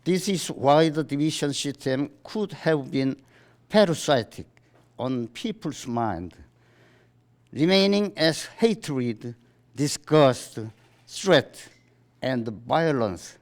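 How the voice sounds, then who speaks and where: A man from South Korea with a Korean accent, a native Korean speaker, formally reading out a statement in halting, deliberate short phrases. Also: a little high, animated. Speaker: writers' association chairman giving a statement. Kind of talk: formal read-aloud statement. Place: press conference in a book salon.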